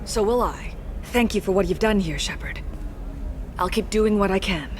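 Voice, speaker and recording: A sincere, reflective colony organizer, played by an actress in a video game. Her medium-pitched female voice is thoughtful and earnest, over faint ambient noise. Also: normal speed, close to an everyday voice. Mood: sincere, grateful. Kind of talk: video game dialogue acting.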